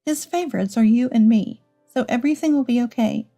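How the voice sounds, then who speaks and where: An earnest, wounded wife and mother, acted by a woman narrator, speaks pleadingly in a story drama. The voice is fairly high and soft-toned. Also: brisk, vocal fry, soft background music. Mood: soothing. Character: adult wife and mother, hurt but protective.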